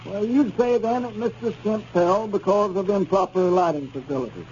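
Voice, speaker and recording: A man plays a formal, courteous lawyer in an old radio comedy. His voice is high but soft-edged and mellow, and businesslike, on a muffled 1930s recording with some noise. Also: moderate pace, natural voice. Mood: probing, formal. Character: polite, businesslike male lawyer on a client call.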